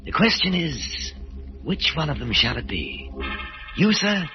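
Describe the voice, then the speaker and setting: An actor playing a menacing killer who calls himself Death, brooding and sinister, in 1940s radio horror style. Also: male voice, fairly high pitch, sing-song, unhurried, over music. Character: Death, menacing male killer choosing a victim. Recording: noisy, muffled old radio recording.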